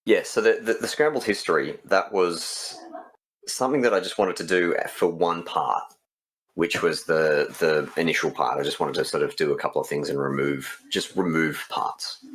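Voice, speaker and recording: A man with an exceptionally deep voice chats casually in an interview. He speaks as a game developer and writer, in an informal conversational way. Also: moderate pace, a bit bright, a little hiss or hum.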